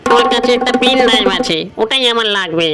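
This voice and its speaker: A man's voice: extremely high pitch, fast. A man voicing an anxious, pleading scribe of the underworld in a comic cartoon, urgent and full of exclamations. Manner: urgent, exclaiming, deferential to his lord. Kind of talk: comic Bengali cartoon dubbing. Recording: music behind the voice.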